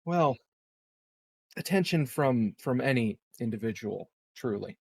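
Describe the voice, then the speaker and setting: Man playing a gravelly, guarded corporate schemer. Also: medium pitch, animated, full character voice. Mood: guarded, calculating. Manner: halting, measured, veiled and formal. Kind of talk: improvised tabletop roleplay.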